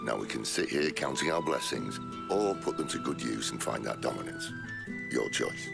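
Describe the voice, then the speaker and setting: A middle-aged fantasy rebel leader for a video game. His voice is extremely low and thick with gravel, rising and falling freely as he teases and commands with easy, wry authority. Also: expressive, loud music behind the voice, heavily acted. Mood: confident. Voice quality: gravelly, deep. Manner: wry, teasing, unhurried authority. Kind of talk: video game cutscene acting.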